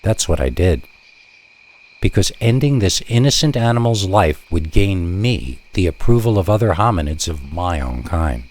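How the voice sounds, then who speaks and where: Deep-voiced man narrating scary stories. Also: expressive, normal speed, darkish tone. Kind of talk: dramatic first-person story narration.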